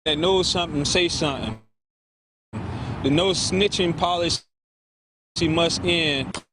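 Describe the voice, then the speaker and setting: A man speaks in a high voice, making a public appeal for a news camera. The interview recording has clear background noise. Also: slow. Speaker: community leader interviewed for news. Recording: noisy outdoor news interview.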